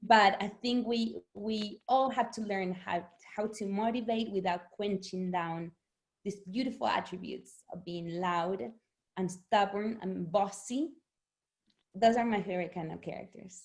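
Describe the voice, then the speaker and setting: Brief: middle-aged Spanish-native woman from Argentina, chatty author guest, animated, quick laughs, mid-pitched voice. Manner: animated, laughs often, restarts words. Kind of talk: conversational interview.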